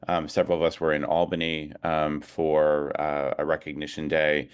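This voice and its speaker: A man with an extremely low, clear and resonant voice gives an informal advocacy talk over a video call. He speaks reflectively, feeling his way through his points with frequent hesitations. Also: completely flat delivery, normal speed. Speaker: advocacy organization leader, guest speaker. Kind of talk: informal talk at an online rally.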